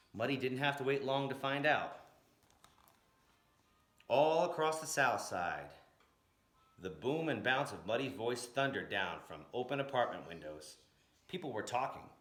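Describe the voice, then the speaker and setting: Guy reading a storybook out loud, really dramatic n rhythmic, voice swings up and down, roomy echo. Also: medium pitch. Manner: dramatic, emphatic, rhythmic phrasing. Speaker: storyteller reading a picture book aloud, blues educator. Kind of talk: expressive scripted storybook narration. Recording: roomy, echoing room sound.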